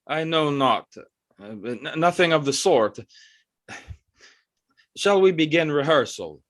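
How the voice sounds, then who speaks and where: A man acts out a nervous choreographer serving royalty in a theatrical period audio play. His voice sits somewhat high, and his speech is hesitant, with stammers. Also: expressive, slow pace, fairly bright tone. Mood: flustered.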